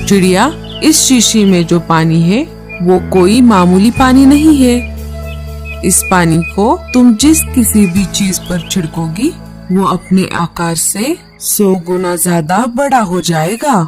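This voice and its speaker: Caring elderly forest grandmother acted by a woman, measured delivery, mid pitch, children's cartoon over soft music. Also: expressive. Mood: kindly. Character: kind old grandmother living by a forest river.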